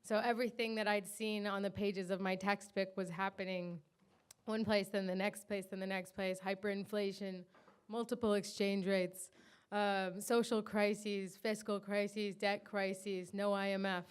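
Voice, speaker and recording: A woman's voice: medium pitch, level tone, a little slow, clear, resonant tone. An American woman in her thirties, from the Washington area, giving chatty, informal closing remarks at a panel event. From Washington area, USA. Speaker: co-founder of a digital media company.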